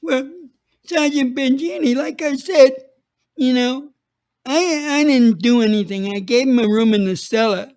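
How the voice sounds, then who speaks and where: A man's voice: a little slow, darkish tone. A man doing a stammering cowardly fat man. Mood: pleading. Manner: high stammering pleading. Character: panicky whining obese man, cowardly.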